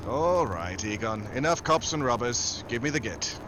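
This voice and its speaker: A man's voice: medium pitch, expressive, moderate pace, lightly acted. Man acting a wry American agent, noisy recording. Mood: mocking, cool. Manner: wry, nonchalant. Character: unflappable, wisecracking American male secret agent. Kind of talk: 1940s radio drama acting.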